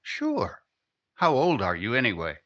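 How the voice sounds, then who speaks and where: A man playing a brisk, probing newspaper reporter in a 1950s radio drama, direct and informal. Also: medium pitch, wildly swooping pitch, pushed and bright, heavily acted. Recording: slightly dull band-limited sound.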